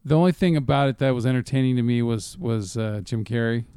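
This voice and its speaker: A man chatting casually as co-host of a movie review show, profane, halting and often repeating himself. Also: medium pitch, warm tone, clean tone, no echo, studio quality. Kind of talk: casual chat, film commentary.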